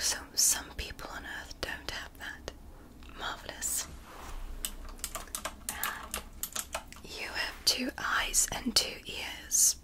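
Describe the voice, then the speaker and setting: Casting: woman as kindly, curious alien medic for ASMR roleplay, breathy whisper, very slow and deliberate, reassuring. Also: natural voice, low-quality recording. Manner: soothing, deliberate, encouraging. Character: gentle, fascinated alien doctor examining a human. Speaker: ASMR performer.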